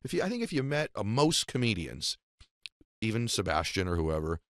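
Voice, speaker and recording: Brief: very deep, quick-talking host, emphatic, dry booth sound. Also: male voice, big pitch swings, no room sound. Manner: emphatic, hand-gesturing, motivational. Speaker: podcast host. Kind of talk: reflective interview talk. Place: radio studio. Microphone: studio boom mic, close.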